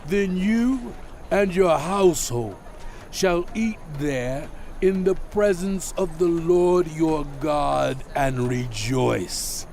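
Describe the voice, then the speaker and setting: A man playing a solemn lawgiver in a dramatized scripture reading, speaking deliberately in short, measured phrases. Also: fairly high pitch, animated, vocal fry, a little mellow, slight background noise, heavily acted. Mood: solemn, instructive. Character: solemn male lawgiver instructing his people. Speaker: narrator of a dramatized audio Bible.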